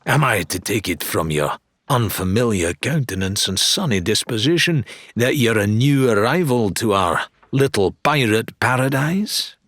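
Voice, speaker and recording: A man's voice: on the low side, lively intonation, unhurried, fairly bright tone, no room sound. A man voicing a blustering, theatrical pirate weapons dealer in an audiobook, drawing out his salesman's patter with grand flourishes. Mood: jovial, ingratiating. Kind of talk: dramatised audiobook character dialogue.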